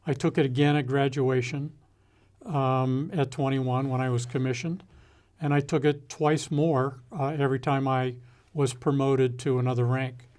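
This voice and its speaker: Interview guest: older American man, mid-range voice, thoughtful and measured, pausing often as he reflects. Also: medium pitch, steady tone, darkish tone. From United States. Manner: measured, halting delivery with deliberate pauses. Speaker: interview guest, retired executive and former naval officer.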